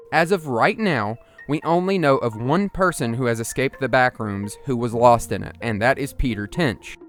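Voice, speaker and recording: Male narrator, higher-middle everyday pitch, musing video essay delivery, explanatory, slightly conversational, music underneath.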